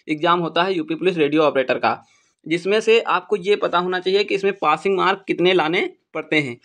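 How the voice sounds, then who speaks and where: A man's voice: sits high, fairly bright tone. A man teaching in an informal explainer style, repeating points for emphasis. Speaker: exam-prep YouTube teacher. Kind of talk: informal explainer addressing students.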